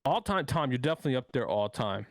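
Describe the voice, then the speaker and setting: A man's voice: medium pitch, very animated, fast talker. A young man on an esports panel, answering in a laid-back way with lots of fillers. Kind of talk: casual esports chat.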